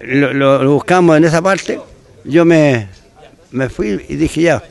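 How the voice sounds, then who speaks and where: An older man with a Chilean accent, a former footballer recalling a match in halting, repeated phrases. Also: a little high, creaky. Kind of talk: archive interview recollection.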